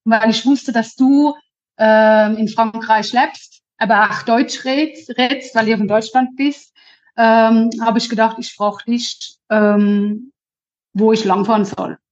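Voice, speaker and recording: A woman from Lower Franconia with a Lower Franconian accent, a cheerful, talkative podcast guest who often restarts her sentences. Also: fairly even intonation, slow pace. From Lower Franconia, Germany. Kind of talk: casual interview chat. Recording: dull lo-fi sound.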